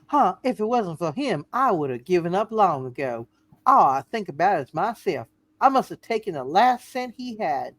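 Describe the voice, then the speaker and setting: A woman's voice: on the low side, big pitch swings, moderate pace, dry recording. A woman playing a doting, theatrical townswoman praying for her family in a hammed-up comic radio play. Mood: sorrowful, urgent.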